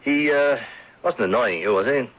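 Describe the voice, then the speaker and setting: A lo-fi radio-play recording of a man playing a genial gentleman host. His medium-pitched voice is husky, and he talks in an easygoing, chatty, gently chiding way. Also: animated, moderate pace, lightly acted. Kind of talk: radio drama acting. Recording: dull, lo-fi 1970s radio recording.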